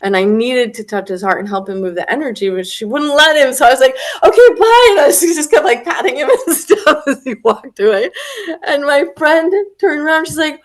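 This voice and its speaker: A young woman in casual conversation, animated and laughing as she acts out quoted voices, a little stuffy from a head cold. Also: high-pitched, a little fast. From Florida. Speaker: retreat attendee.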